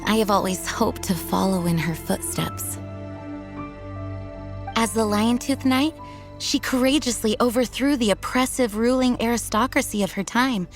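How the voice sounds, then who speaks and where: An actress voicing a dutiful, earnest female knight commander in a video game, speaking formally and in measured tones. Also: fairly high pitch, soft background music, natural voice.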